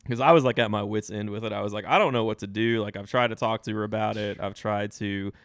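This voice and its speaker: A man's voice: lowish voice, fairly even intonation, quick pace, fairly bright tone. A man co-hosting a podcast, telling personal stories in casual chat and laughing often as he talks. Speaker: podcast co-host.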